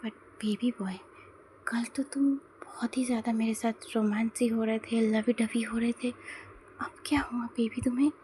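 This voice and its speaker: A woman voicing a teasing, possessive gangster man who speaks in a hushed whisper. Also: fairly high pitch, fairly even intonation, unhurried, a little hiss or hum, lightly acted.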